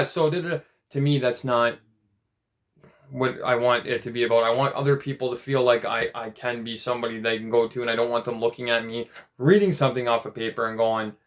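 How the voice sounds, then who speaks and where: Young man, late twenties, gravelly, mid-pitched, rambling vlog talk, halting restarts. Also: fairly bright tone. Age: 20s. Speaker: vlogger. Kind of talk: casual personal vlog.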